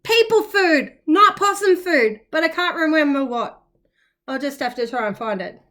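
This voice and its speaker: A woman's voice: high-pitched, animated, normal speed, fairly bright tone. A woman voicing a kindly, encouraging old grandmother possum in a children's storybook. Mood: musing, eager.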